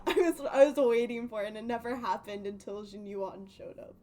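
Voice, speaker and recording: A woman's voice: medium pitch, a little fast, dark tone. A woman chatting casually on a game livestream in a rambling, giggly, slightly nervous way. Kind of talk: casual game stream chat.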